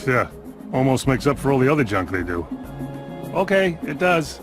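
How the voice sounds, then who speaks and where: A man voicing an eager, anxious expectant father mammoth in an animated game, with a wry, exclamatory delivery. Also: mid-range voice, moderate pace, over music, natural voice.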